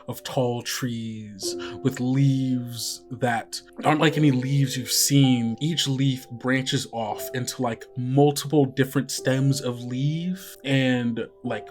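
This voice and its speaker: A man in the game master's chair, describing scenes slowly and casually, with lots of fillers. His voice sits at an ordinary middle pitch. Faint music runs behind. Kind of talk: narrating a tabletop game.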